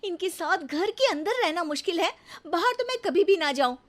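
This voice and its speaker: Woman doing a shrill snobbish young wife. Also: expressive, normal speed, fairly bright tone. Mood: dismissive. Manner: haughty, whiny. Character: snobbish young city wife, vain and rude. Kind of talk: storybook cartoon voice acting.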